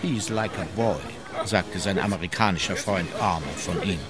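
A sober, matter-of-fact chronicler played by a man with a fairly low voice, reporting in radio-play narration over loud music. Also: normal speed, over a noisy crowd, natural voice. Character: sober male documentary-style chronicler.

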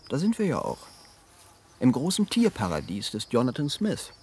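Casting: guarded park worker, radio play, hushed and hesitant delivery, animated intonation, light outdoor ambience. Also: male voice, medium pitch, moderate pace, a little hiss or hum. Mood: wary, hesitant. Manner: hushed, hesitant, partly whispered. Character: wary male worker in a futuristic animal park. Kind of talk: German science-fiction radio play acting.

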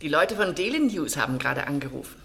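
Genteel, lively-voiced society mother. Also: sits low, fast, vocal fry, exaggerated voice. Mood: curious. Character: older upper-class mother, dryly witty, talkative, genteel. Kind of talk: radio drama acting.

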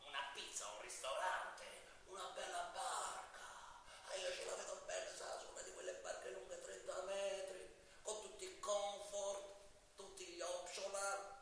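A man's voice: high voice, steady tone, measured pace. An actor playing a jailed mafia killer in a theatrical stage monologue, sly, mocking and menacing as he wheedles a judge, moving between murmurs and outbursts. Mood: mocking, tempting. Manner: mocking, insinuating, swinging from hushed murmurs to loud outbursts. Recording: very echoey, distant, hissy live stage recording.

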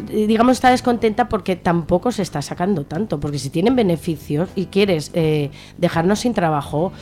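A woman's voice: lowish voice, mellow. A woman with an accent from Spain giving earnest, outspoken answers as an activist guest in an informal radio interview. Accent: Spanish from Spain.

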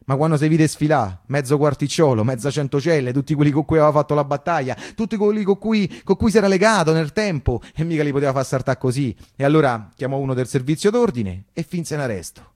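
A man's voice: medium pitch, darkish tone, lightly acted. An actor playing a fervent, unschooled working-class man from Rome in a radio theatre monologue, telling his wartime memories in emphatic, colloquial dialect with a Roman accent. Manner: colloquial, emphatic, repetitive folk storytelling. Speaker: solo stage narrator and actor.

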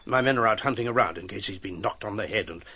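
A man playing a gruff, sceptical British police captain in a radio drama, brusque and matter-of-fact, with a British accent. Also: fairly low pitch, quick pace, bright, forceful tone, full character voice. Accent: British English.

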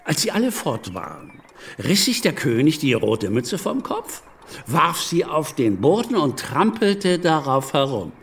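An actor playing a gossipy revolutionary in a radio play, telling news with theatrical relish. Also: male voice, a little high, very animated, a little slow, a bit bright, full character voice. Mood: excited, wry.

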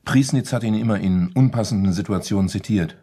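Jealous husband for radio drama: low husky voice, flustered, prickly, suspicious. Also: male voice, brisk, dark tone. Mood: irritated. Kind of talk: German radio play acting.

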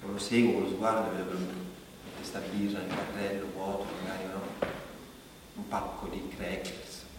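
A man's voice: low-pitched, level tone, moderate pace, lightly acted. An actor playing a chatty, watchful everyday man in a rambling, fragmented conversational stage monologue. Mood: musing, observant. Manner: rambling, fragmented, tagging phrases with questions. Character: watchful, chatty everyday supermarket shopper, musing. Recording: distant echoey hall, clear background noise.

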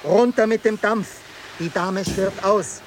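Ein Schauspieler spielt im Hörspiel einen befehlsgewohnten, drängenden Schiffsoffizier im Sturm. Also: male voice, high-pitched, slow pace. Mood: commanding. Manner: urgent, commanding. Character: commanding ship's officer in a storm. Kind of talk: radio drama acting. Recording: clearly noisy ship sound effects.

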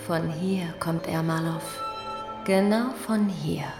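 An actress playing a ruthless, contemptuous Soviet villain in a radio drama, speaking with cold menace. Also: female voice, lowish voice, takes it slowly, over music. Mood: intense, hushed. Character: ruthless, cold female Soviet villain who justifies terror. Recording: echoey room.

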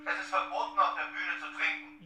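A man's voice: flat delivery, fast talker, bright, forceful tone, soft background music. An actor playing a blunt, sardonic theatre security man in a radio drama, officious and needling, heard as if over an intercom. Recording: very echoey, distant, booming theatre space.

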